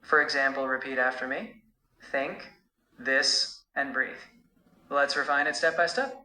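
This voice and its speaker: Bright-toned AI tutor voice, male. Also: mid-range voice, fairly even intonation, reverberant, poor audio. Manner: warm, measured, coaching. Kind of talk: encouraging spoken feedback.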